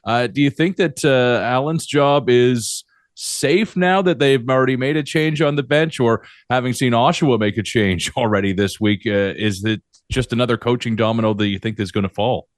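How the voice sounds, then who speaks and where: A man hosting a conversational sports interview, forming his questions haltingly and repeating words as he goes. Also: mid-range voice, dry recording, clean studio sound. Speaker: podcast host and interviewer.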